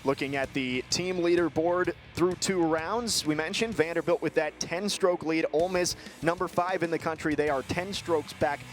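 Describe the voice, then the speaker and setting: American male play-by-play announcer for TV golf, fairly high voice, music underneath. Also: moderate pace, few pauses. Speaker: play-by-play sports broadcaster. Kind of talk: live TV golf commentary.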